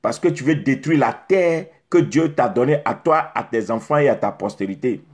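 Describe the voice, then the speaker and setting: A middle-aged male pastor preaches into a close phone camera. His voice is husky and breathy, set at a medium pitch. He hammers his points home with rhetorical questions and repeated phrases. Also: normal speed, a little mellow. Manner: exhorting, rhetorical questions, emphatic repetition. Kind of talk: preaching sermon. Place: indoors in front of a beach backdrop.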